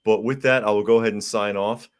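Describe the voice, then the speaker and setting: A man hosts a live podcast in a low, slightly hoarse voice, his throat still sore from a recent illness. He talks casually and plainly, reading viewer comments aloud and answering them. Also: steady tone, quick pace. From United States. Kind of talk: casual live-stream chat.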